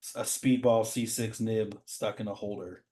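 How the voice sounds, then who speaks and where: A man's voice: fairly low pitch, fairly even intonation, moderate pace, dark tone. A man hosting a show, chatting casually and admiringly as he comments on comic art. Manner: admiring, informal, says "man" often. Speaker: show host.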